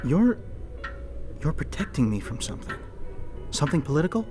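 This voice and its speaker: A man's voice: fairly high pitch, big pitch swings, a little fast, music in the background. An actor playing a young, cheekily insubordinate soldier in a radio drama, probing and needling as he talks. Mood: needling, suspicious. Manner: needling, questioning.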